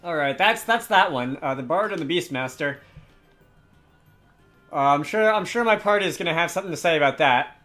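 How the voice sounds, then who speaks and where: A man's voice: fairly high pitch, lively intonation, a little fast, sharp tone, faint music behind. A man giving casual commentary on a game as a let's player who reads its dialogue aloud.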